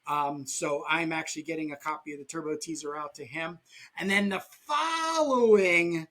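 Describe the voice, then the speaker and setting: A man hosting a podcast about comics in casual talk, pausing mid-sentence as he goes. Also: a little high, sharp tone. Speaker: podcast host and comic artist.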